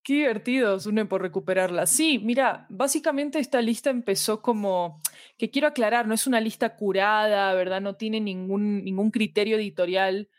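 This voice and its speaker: A woman's voice: medium pitch, moderate pace, dry recording, studio quality. A woman in a casual interview, thinking aloud and carefully qualifying what she says. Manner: thoughtful, hedging, self-qualifying. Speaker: podcast guest, fiction editorial manager.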